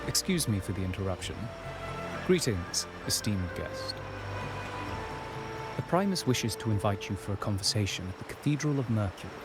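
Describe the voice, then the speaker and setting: Actor as a low, courteous formal emissary. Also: male voice, lively intonation, moderate pace, long pauses, natural voice. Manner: polite, formal, unruffled. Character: courteous, formal male emissary of a church leader. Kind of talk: video game story voice acting. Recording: loud music behind the voice.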